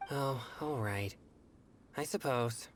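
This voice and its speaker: A woman's voice: rumbling low, slow, slight background noise. A woman playing a put-upon, reluctant young friend in a video game, grumbling. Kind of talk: video game character acting.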